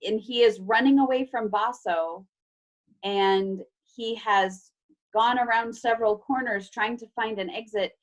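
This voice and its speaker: A woman's voice: medium pitch, rough recording. A woman teacher reading a story aloud to children and explaining things simply. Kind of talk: expressive storybook read-aloud with chat to children. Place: online class video call.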